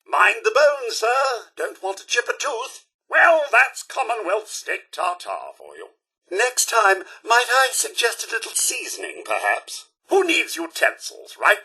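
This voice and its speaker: A fussy British robot butler for a video game, prim and devoted. The voice sits high and swings widely in pitch, with a bright, forceful edge. The phrasing is formal and deferential, with dry understatement. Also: male voice, breathy edge, full character voice, poor audio. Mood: wry, polite. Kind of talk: video game companion voice lines.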